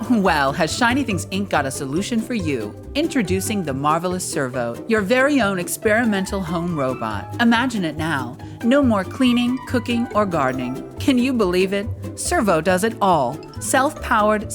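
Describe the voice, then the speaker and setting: An actress playing an upbeat infomercial pitchwoman, delivering an exclamatory, salesy scripted ad read. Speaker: commercial announcer. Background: loud music.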